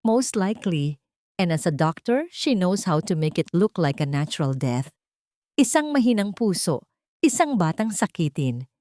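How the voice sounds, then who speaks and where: A woman doing a grave, low-voiced chauffeur. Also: sing-song, measured pace, warm tone, no room sound, clean studio sound. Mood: serious. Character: low-voiced serious male family chauffeur. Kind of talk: audiobook drama dialogue.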